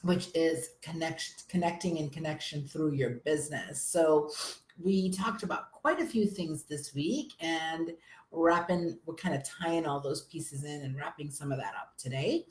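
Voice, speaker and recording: A hoarse woman with a summer cold, a business coach chatting energetically to viewers on a live stream. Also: low-pitched, unhurried, roomy sound, poor audio. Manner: energetic, chatty, addresses viewers as you guys.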